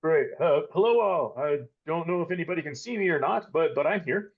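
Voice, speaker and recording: A man joining a panel online, introducing himself in a measured, chatty way with many fillers. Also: a little high, animated. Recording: dull lo-fi call audio.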